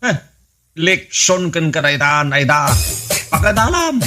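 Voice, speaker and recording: A man's voice: on the high side, very animated, measured pace, bright, forceful tone, faint music behind, full character voice. A man voicing an exasperated husband who scolds his wife. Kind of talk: comedy radio drama acting.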